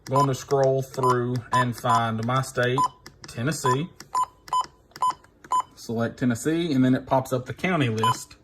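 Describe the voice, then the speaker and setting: A man's voice: mid-range voice, steady tone, unhurried, long pauses. A man gives an informal, unscripted tutorial, thinking aloud as he works through each step on a device. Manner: unscripted, thinking aloud while pressing buttons. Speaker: instructor demonstrating a device. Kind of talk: informal hands-on tutorial, talking through steps. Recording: noisy recording with device clicks and beeps.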